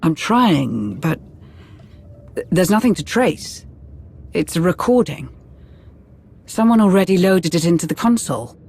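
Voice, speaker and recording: Higher-pitched, earnest Imperial aide. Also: male voice, very animated, a little slow, gravelly, long pauses, a little mellow, breathy edge, heavily acted. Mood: wary, puzzled. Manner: earnest, formal, concerned. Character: earnest, uneasy male Imperial aide and adviser. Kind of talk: dramatic video game cutscene acting.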